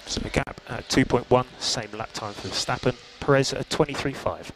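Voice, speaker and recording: A man working as a race engineer, calmly reading out gaps and lap times over team radio. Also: medium pitch, moderate pace, faint music behind. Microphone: headset radio. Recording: noisy team radio line.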